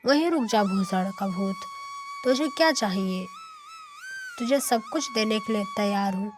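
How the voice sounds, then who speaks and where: Woman doing sneaky cowardly village boss guy, cartoon villain, slow talker, music behind. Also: mid-range voice, lively intonation, lightly acted. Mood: terrified pleading.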